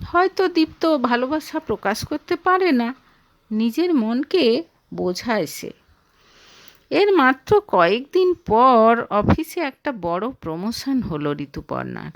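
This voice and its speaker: Female audio story narrator, highish voice, slow delivery, emotive and dramatic. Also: big pitch swings.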